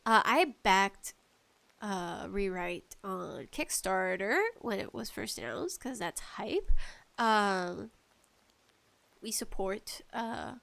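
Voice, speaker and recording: A woman with a nasal, congested voice hosting a casual, rambling solo podcast in an animated, pleading way with self-deprecating asides. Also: medium pitch, slow pace, creaky. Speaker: podcast host.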